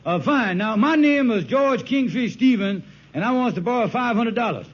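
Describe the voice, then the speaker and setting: A man's voice: very high-pitched, animated, moderate pace, husky, exaggerated voice. An actor playing a scheming, broke, blustering married man who stalls and wheedles in ungrammatical talk on an old radio sitcom. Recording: muffled, noisy old radio recording.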